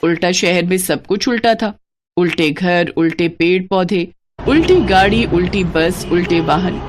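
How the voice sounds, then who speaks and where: A woman's voice: lowish voice, unhurried. A woman narrating a scripted children's story, on a noisy recording. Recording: clearly noisy background.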